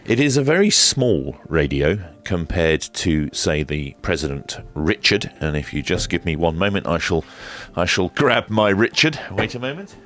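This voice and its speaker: Low-voiced man, casual unboxing commentary. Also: very animated, normal speed, fairly bright tone. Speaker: radio reviewer and presenter.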